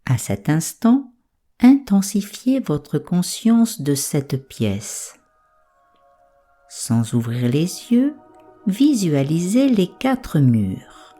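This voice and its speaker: Low, mellow, slow-speaking woman guiding meditation. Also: slightly rough. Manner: calm, soothing, measured instructions with pauses. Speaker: meditation guide. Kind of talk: slow guided yoga nidra relaxation.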